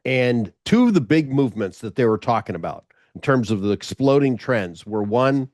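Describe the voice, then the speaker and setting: A man's voice: medium pitch, expressive, moderate pace, a little mellow, no room sound, studio quality. A middle-aged man from Los Angeles, a former radio host, chatting over a video call in a rambling way, often restarting his sentences. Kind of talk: casual interview chat.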